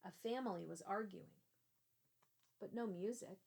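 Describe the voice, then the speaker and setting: Casting: female teacher narrating a children's book, unhurried, some vocal fry, expressive storyteller. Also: medium pitch. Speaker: teacher reading aloud.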